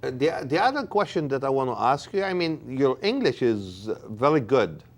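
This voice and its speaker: A man interviewing a guest, asking questions in a halting way with frequent restarts and repeated words. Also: mid-range voice, very animated.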